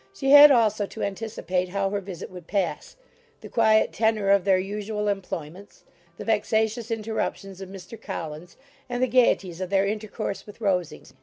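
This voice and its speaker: A woman's voice: medium pitch, creaky, dark tone. A woman narrating a classic novel as a scripted, literary audiobook reading.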